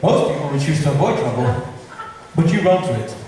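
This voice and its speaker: A man's voice: a little high, sing-song, a little fast, a little mellow. A man playing a jovial, gracious prince in an amateur stage comedy, with a hearty, declamatory delivery. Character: jovial, gracious visiting prince, male army leader. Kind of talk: amateur stage acting of modernised Shakespeare comedy. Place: large hall, distant microphone at a stage play. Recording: echoey hall from far off, faint noise.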